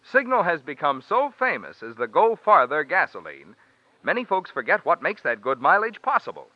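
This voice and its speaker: Lively American male vintage radio announcer. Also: fairly high pitch, no room sound. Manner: polished, persuasive. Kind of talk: 1940s radio commercial announcing. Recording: muffled old radio recording.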